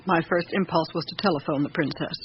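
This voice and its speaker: An actress playing an old, devout female servant in a radio drama, confessing in halting, plain-spoken phrases. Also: sits low, close to an everyday voice. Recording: muffled old radio recording, faint noise.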